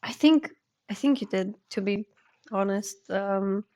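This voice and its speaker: A woman's voice: mid-range voice, measured pace, dark tone. A woman co-hosting a podcast, asking questions and chatting in an earnest, talkative way. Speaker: podcast co-host. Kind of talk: casual podcast chat.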